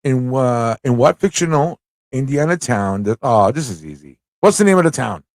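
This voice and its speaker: A man with a mid-pitched voice hosts a live quiz in a loose, conversational way. He reads questions aloud, repeats them and chats with viewers, and the sound is close and dry. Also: very animated, normal speed, darkish tone, no echo. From United States. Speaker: livestream host running a trivia quiz. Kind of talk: casual live quiz hosting, chatting with viewers.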